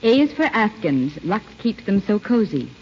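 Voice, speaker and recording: A woman's voice: medium pitch, big pitch swings, normal speed. A woman delivering scripted, rhymed advertising patter on a 1930s radio show. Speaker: commercial spokeswoman. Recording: old muffled radio, faint noise.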